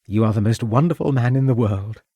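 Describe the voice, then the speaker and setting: A man's voice: mid-range voice, a little fast, warm tone, husky, no room sound, muffled recording. A man doing an eager young Victorian wife. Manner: gushing, pleading.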